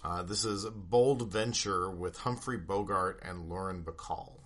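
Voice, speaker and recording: A man casually hosting a radio show, talking in an informal, halting, chatty way. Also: low-pitched. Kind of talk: casual hosting between old radio episodes.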